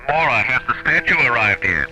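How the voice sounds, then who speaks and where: An actor playing an earnest, uneasy scientist on a moon base in a radio drama, speaking crisply and seriously. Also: male voice, medium pitch, sing-song, fairly quick, over music, rough recording. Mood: businesslike.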